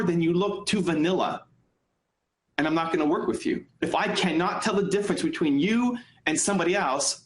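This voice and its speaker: Higher-pitched man coaching in an echoey room. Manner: emphatic, repeats phrases for effect. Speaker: business author and speaker giving advice. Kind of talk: motivational business coaching talk.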